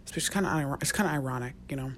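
Young woman, deep-toned voice, casual solo podcast, rambling and chatty, soft near-whispers, quiet office, faint low hum behind. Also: very fast. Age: young adult. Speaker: podcast host. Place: quiet university work-study office.

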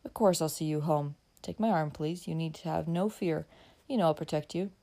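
A woman voicing a calm, protective young gentleman in an audiobook, speaking in a steady, matter-of-fact way. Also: lowish voice, normal speed, vocal fry. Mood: calm, reassuring.

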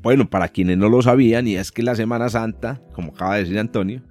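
A Colombian man with a Paisa accent, hosting a relaxed podcast talk on astronomy, lively with many restarts and fillers. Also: lowish voice, fast talker, soft background music. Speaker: podcast host and astronomy professor. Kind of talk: casual conversational podcast.

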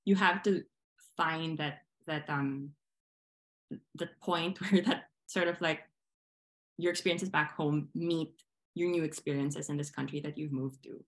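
A young woman from the Philippines with a low voice, speaking as a podcast guest. She reflects earnestly and conversationally, thinking aloud and circling back over phrases as she shapes each point. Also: steady tone, measured pace, poor audio. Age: young adult. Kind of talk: reflective conversational interview answers.

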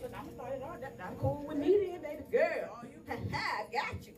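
A woman's voice: sits high, expressive, normal speed, music in the background. A woman with a big voice co-hosting a live poetry show, playful and hyping the audience. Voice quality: big, loud voice. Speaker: event co-host and poet. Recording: distant mic in a crowded live room.

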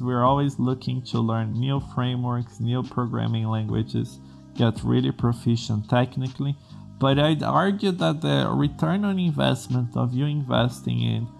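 A man's voice: mid-range voice, vocal fry. A man from Brazil, a non-native English speaker, giving earnest career advice to programmers in a vlog. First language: Portuguese. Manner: earnest, explains in plain steps with restarts. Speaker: coding school host.

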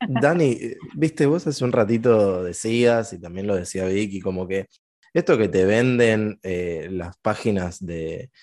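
A man's voice: lowish voice, unhurried, darkish tone. A man with an Argentine accent hosting a casual interview, asking long rambling questions full of fillers. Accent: Argentine Spanish. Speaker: host.

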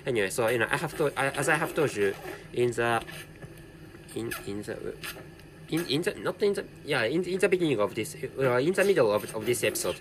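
Halting Japanese-accented man, lively pitch. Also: noisy background. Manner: halting, with heavy repetition and self-correction. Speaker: podcast host. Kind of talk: casual solo commentary.

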